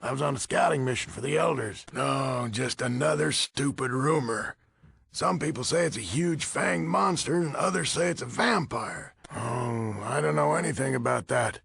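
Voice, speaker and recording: A crusty old commander of a military order for a video game. His voice is heavily gravelled and husky. He talks bluntly and folksily, with little patience. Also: medium pitch, normal speed, a bit bright, dry recording, exaggerated voice. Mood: gruff, matter-of-fact. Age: older. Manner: blunt, folksy, swearing, easily exasperated. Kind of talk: video game character dialogue.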